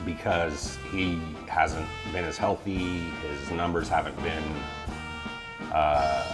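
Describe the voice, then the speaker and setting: A man chatting casually as an interview guest, in a laid-back, joking way. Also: deep voice. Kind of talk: casual chat.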